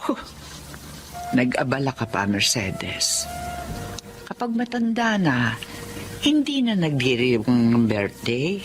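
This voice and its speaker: A woman's voice: a bass voice, sing-song, slow pace, long pauses, background noise, heavily acted. A woman with a Filipino accent acting an elderly, long-suffering housewife mother in a radio-style drama. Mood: touched, forgiving. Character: elderly wronged mother, self-sacrificing plain housewife.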